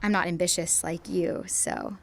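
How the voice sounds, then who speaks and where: A young woman playing a lovestruck, self-doubting high school girl in an audio drama, with an emotional, searching delivery. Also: huge pitch swings, a little slow, vocal fry, a little hiss or hum, full character voice. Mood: wistful, resigned.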